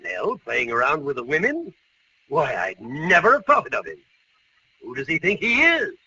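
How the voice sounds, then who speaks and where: An actor playing a blustery, fussy older uncle in a 1940s radio comedy. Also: male voice, a little high, wildly swooping pitch, moderate pace, recorded from a distance. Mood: bemused. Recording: muffled, noisy old radio recording.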